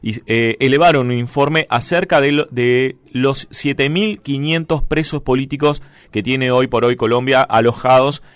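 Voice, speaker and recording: A man's voice: medium pitch, a little slow, smooth, no room sound. Man hosting radio news. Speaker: radio host. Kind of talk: radio news talk show banter. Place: radio studio.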